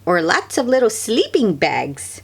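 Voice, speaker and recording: A woman voicing a kindly, patient old grandmother in a children's storybook, gently instructive. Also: medium pitch, wildly swooping pitch, measured pace, vocal fry, husky, voice pushed up, exaggerated voice. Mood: patient, gentle.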